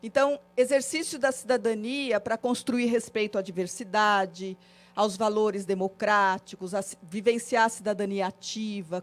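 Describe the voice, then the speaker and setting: A woman lectures a training group in a meeting room, moving through her points one after another and tagging many phrases with a questioning filler. Faint music sits low behind her voice. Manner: listing points in rhythmic series, frequent filler "né". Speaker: course facilitator. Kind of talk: classroom lecture on citizenship.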